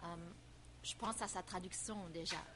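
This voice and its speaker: A woman's voice: lowish voice, normal speed, noisy background. A woman in the audience puts a long, winding question to the speaker. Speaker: audience member asking a question. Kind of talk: question from the floor.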